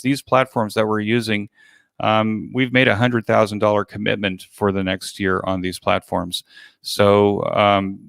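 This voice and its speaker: An older man speaking casually as an interview guest, in a halting way with repeated words, describing his work as an organizer. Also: fairly low pitch, creaky, fairly bright tone, no echo, clean studio sound. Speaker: guest, virtual expo organizer.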